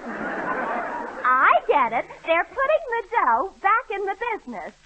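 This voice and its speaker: High bright woman, naive deadpan jokes, lively pitch, dull old radio sound. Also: slow, a crowd in the background. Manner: naive deadpan straight lines. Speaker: comedy regular. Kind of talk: 1930s radio comedy. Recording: dull noisy 1930s radio broadcast.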